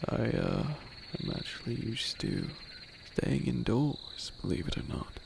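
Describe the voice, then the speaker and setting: An imperious skeleton demon lord played by a man speaks in a heavily creaking murmur, drawing out each formal phrase very slowly. The delivery is theatrical and bored yet playfully menacing, in the intimate manner of fantasy ASMR, over a noisy recording. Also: medium pitch, wildly swooping pitch, heavily acted. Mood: playful, wistful.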